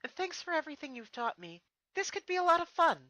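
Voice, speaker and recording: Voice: extremely high pitch, big pitch swings, quick pace, pushed and bright, no room sound, lo-fi sound, voice pushed up, exaggerated voice. A performer voicing an earnest, eager young female pony princess in a fan fiction reading. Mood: earnest, grateful.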